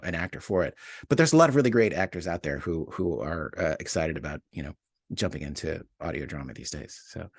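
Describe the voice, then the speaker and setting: Low-voiced man in thoughtful conversation. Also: no echo, clean studio sound. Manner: hesitant, searching for words, self-deprecating. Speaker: podcast writer and co-producer, interview guest. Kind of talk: casual, reflective interview talk.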